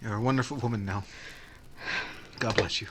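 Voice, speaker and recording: A man acting a flustered, earnest suitor in a 1940s-style radio drama, stammering and halting. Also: on the low side, fairly even intonation, very noisy, lightly acted. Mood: sad, resigned. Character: flustered, earnest suitor, Bert, anguished and tongue-tied.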